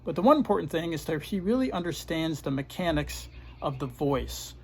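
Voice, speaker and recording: A man who teaches karate, hosting a casual video-call interview in a relaxed, chatty way with lots of fillers. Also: fairly high pitch, fairly quick.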